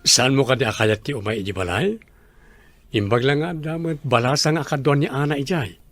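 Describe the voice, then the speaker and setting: A man's voice: mid-range voice, brisk, a bit bright, no room sound, faint music behind. A man with an Ilocano Filipino accent playing a friendly, inviting uncle in an audio drama.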